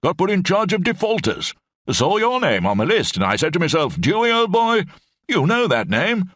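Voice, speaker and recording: A jovial, rambling old brigadier barks out clipped, upper-class phrases in a high, lively voice, as a man puts him on. The recording is dry and close. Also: moderate pace, no echo, heavily acted. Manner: clipped, blustering, upper-class officer speech. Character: bumbling elderly male British brigadier, jovial, rambling.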